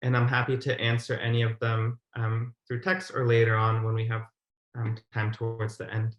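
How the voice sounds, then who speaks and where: A man's voice: mid-range voice, monotone, normal speed, highs cut off. A man in his thirties giving an explanatory presentation as a webinar speaker on a video call. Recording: roomy, echoey video call.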